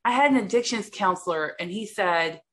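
A woman's voice: mid-range voice, expressive, brisk, fairly bright tone. A woman with a big voice, sharing openly and bluntly in a support group over a video call, swearing freely. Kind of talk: candid personal share in a group meeting.

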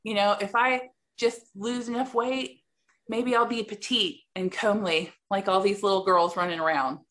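A woman's voice: medium pitch, steady tone, moderate pace, fairly bright tone. A woman with a big voice, sharing openly and bluntly in a support group over a video call, swearing freely. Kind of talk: candid personal share in a group meeting.

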